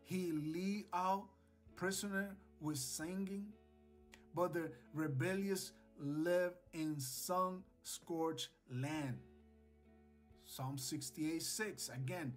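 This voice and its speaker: Male online preacher, 40s, slightly high voice, earnest devotional exhortation with scripture, soft guitar music underneath. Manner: earnest, exhorting, repeats phrases for emphasis. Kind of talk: devotional sermon to camera, scripture reading. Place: small indoor home studio.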